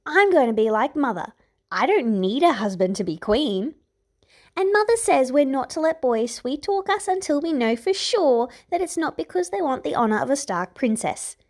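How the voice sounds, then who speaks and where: A woman's voice: high voice, very animated, normal speed, pitched above the performer's own voice. A woman voicing a spirited, defiant thirteen-year-old princess with an indignant, girlish manner in a fantasy audiobook. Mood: proud, defiant. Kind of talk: fan fiction audiobook character voice.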